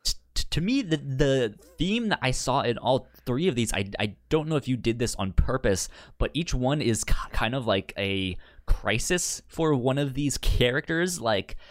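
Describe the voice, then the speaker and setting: A man co-hosting a casual talk podcast, stuttering as he repeats sounds and words. His pitch swings widely as he animatedly retells things, and the recording is close and dry. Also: expressive, normal speed, no room sound. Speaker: podcast co-host. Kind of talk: casual chat about sitcoms.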